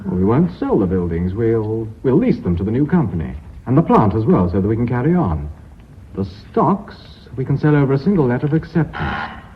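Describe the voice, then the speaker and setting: A man acting in a radio drama as a brisk, decisive businessman in charge of an embattled firm. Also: medium pitch, very animated, close to an everyday voice. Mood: scheming, brisk. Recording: muffled lo-fi radio recording, faint hiss.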